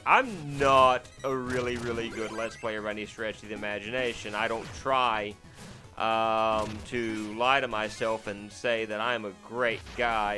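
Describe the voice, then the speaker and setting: A man talks over his game in a loose, rambling way, circling back on himself with lots of "um"s and self-deprecating asides. His voice is fairly deep yet bright and forceful, with sudden startled yelps when something in the game catches him out. Game music plays faintly underneath. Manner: sudden startled exclamations while playing. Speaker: let's play host. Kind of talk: casual gameplay commentary.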